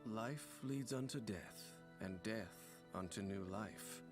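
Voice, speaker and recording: A man giving scripted promotional narration for a game, in a measured, formal storytelling delivery. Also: lowish voice. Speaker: video narrator. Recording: music playing behind the voice. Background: music.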